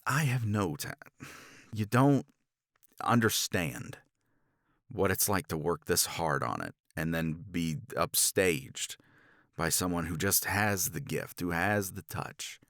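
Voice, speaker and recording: Man acting a low-voiced, jealous, frustrated academic. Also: lively intonation, fairly bright tone, no echo, studio quality, heavily acted. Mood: bitter, hushed. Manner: halting, intense, slipping into breathy near-whispers. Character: jealous, frustrated male academic confessing to his assistant. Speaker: audio roleplay voice actor. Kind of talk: intimate romance ASMR roleplay acting.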